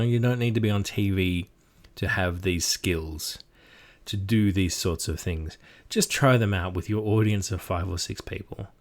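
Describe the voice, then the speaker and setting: Chatty Australian man, lowish voice. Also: a little mellow. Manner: chatty, self-deprecating, encouraging. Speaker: game master giving tips. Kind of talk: casual instructional talk.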